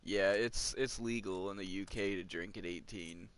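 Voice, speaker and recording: Lower-voiced young man ranting. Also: normal speed, a bit bright, no room sound. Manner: ranting, complaining, chuckles between remarks. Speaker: gamer. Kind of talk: casual rambling chat over gameplay.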